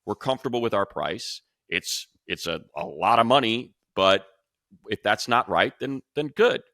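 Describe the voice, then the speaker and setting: A man's voice: on the low side, animated, normal speed, a bit bright, dry recording, studio quality. A man hosting an unscripted sports talk show, speaking emphatically and repeating phrases to drive points home.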